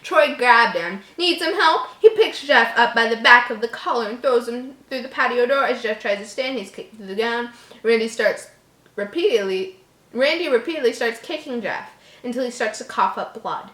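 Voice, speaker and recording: Casting: female story reader, slightly high voice, casual, giggly, interjects joking commentary, roomy echo. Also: normal speed, a bit bright. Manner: giggly, reactive, often breaking off to comment.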